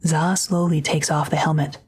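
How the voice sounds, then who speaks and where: A woman's voice: sits low, fairly even intonation, normal speed, a little mellow, husky. A woman reading scripted third-person narration as the narrator of an audio drama.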